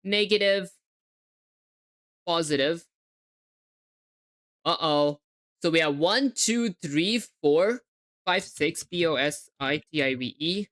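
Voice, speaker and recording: A woman's voice: deep voice, animated, takes it slowly, long pauses, a bit bright. A young woman with a hard-to-place hybrid accent, live coding and thinking aloud, spelling words letter by letter and chatting with viewers. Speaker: livestreaming coder.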